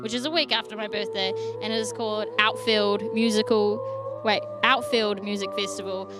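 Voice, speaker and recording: A woman's voice: medium pitch, moderate pace, over loud music. A young woman, rambling and joking in casual live-stream chat. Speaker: festival arts curator.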